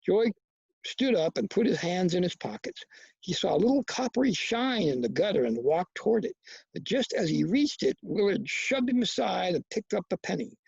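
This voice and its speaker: Novel narrator, animated intonation, gravelly husky voice, dry close sound, slightly muffled. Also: medium pitch, moderate pace, no echo. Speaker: audiobook-style reader.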